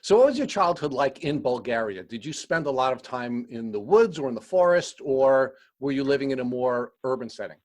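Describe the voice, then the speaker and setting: Male podcast host with an even, mid-pitched voice. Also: a little fast, hardly any pauses. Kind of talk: scripted introduction and interview questions.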